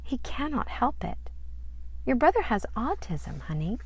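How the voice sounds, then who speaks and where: A woman playing a gentle, patient mother in a storybook, soothingly explaining things to her young child. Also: on the high side, sing-song, a little slow, no room sound, voice pushed up.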